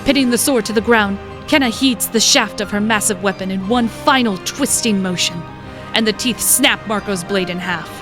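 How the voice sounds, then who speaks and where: A woman's voice: medium pitch, normal speed, music in the background. A woman reading scripted, dramatic narration for a fantasy story as its narrator.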